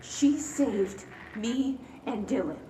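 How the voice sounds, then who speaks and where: An actress playing a troubled, earnest young female patient in a game, halting yet intense and determined. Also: a little high, lively intonation, warm tone. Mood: insistent, agitated. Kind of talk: video game cutscene acting. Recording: roomy, distant, noisy.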